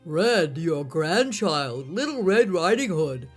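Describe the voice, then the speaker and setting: A woman voicing a hungry, sly male wolf who talks loudly and draws out his words. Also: lowish voice, very animated, slightly rough, faint music behind, exaggerated voice. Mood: sly menacing. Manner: loud, drawn-out, menacing.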